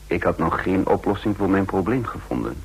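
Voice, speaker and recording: An actor playing a composed intelligence agent who recounts and plays out his mission in a radio drama, speaking in a measured, formal way. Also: sits low, animated, gravelly, husky, full character voice. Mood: reflective. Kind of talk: radio drama narration and dialogue. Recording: noisy old radio drama recording.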